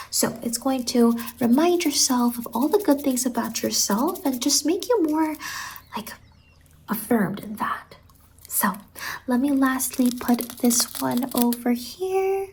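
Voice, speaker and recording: A soft-spoken woman doing an ASMR roleplay as a salon worker, soothing and reassuring, often slipping into a whisper. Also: high voice, a little hiss or hum, rough recording.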